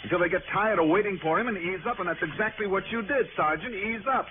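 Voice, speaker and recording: An actor playing a sharp, insistent private investigator in a 1960s radio detective drama, clipped and probing. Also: male voice, high voice, noisy background, close to an everyday voice. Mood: exasperated. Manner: clipped, probing questions, brisk and challenging. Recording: tinny old radio recording with music.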